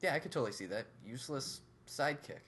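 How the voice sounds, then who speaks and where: Jokey young guy, lively pitch. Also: slight background noise. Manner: jokey, quick quips. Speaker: podcast co-host. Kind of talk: casual chat.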